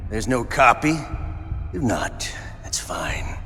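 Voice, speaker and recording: Game villain: sadistic gunslinger interrogator, bright sharp voice, mocking drawl, theatrical menace, big reverberant hall. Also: male voice, mid-range voice, big pitch swings, full character voice. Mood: menacing, probing. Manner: taunting, drawn-out, savoring delivery. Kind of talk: video game voice acting. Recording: long hall reverb, faint game music.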